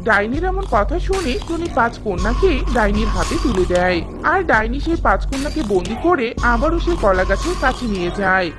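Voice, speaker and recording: A woman's voice: fairly high pitch, very animated, moderate pace, music in the background. A woman narrating a scripted fairy tale for a cartoon as the storyteller.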